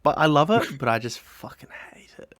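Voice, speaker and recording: A man guesting on a casual gaming podcast, easygoing and quick to laugh. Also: a little high, sing-song, a bit bright, no echo, clean studio sound. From Australia.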